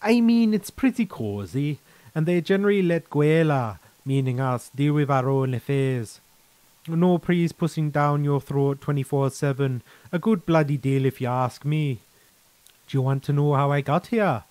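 A man's voice: fairly high pitch, normal speed, a little mellow, no echo, lightly acted. A man voicing a chatty, frank, easygoing veteran soldier in a sci-fi story, with colloquial, mildly profane, persuasive talk. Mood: candid, casual.

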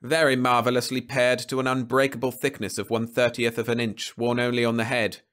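A cold, gloating alien man, smug and precise, threatening in slow measured phrases. A male narrator puts him on, pushing his voice a little higher and louder than his own. It is an audiobook reading. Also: level tone, close to an everyday voice. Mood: cold triumph. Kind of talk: audiobook character voice.

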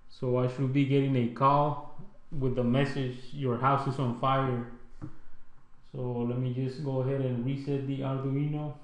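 A man presenting a casual tech tutorial in an informal, halting way. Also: medium pitch, level tone. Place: indoor room. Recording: echoey room.

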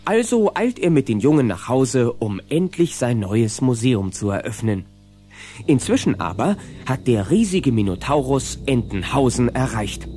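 A man's voice: mid-range voice, mellow, lightly acted. A man narrating a children's radio play in a dramatic storytelling manner.

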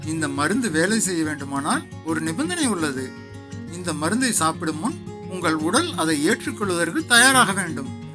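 A man voicing an elderly, calm and sly holy man who speaks in formal, measured instruction. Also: a little high, music in the background. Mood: calm, instructive.

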